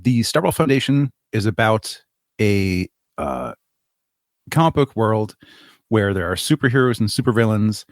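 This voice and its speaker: A man's voice: fairly low pitch, very animated, measured pace, no room sound, studio quality. A man speaking as an interview guest, explaining his creative project. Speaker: podcast guest, audio drama creator.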